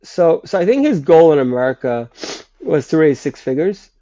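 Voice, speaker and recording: A man with a sinus infection explaining history in a conversational way, pausing often and restarting his sentences. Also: a little high, very animated, warm tone, no room sound. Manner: hesitant, many restarts and fillers. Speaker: guest writer. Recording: dull, lo-fi sound.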